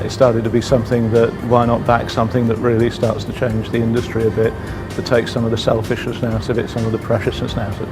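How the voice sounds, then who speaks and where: A man speaks in an informal interview at a middling pitch. He is thoughtful and halting, often repeating a phrase, while music plays clearly behind him. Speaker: event participant giving an interview.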